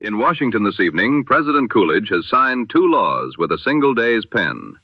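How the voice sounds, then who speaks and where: A man reading a scripted news bulletin as a 1920s radio announcer, in a solemn, formal, measured oratorical manner. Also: on the low side, very animated, no echo, lo-fi sound.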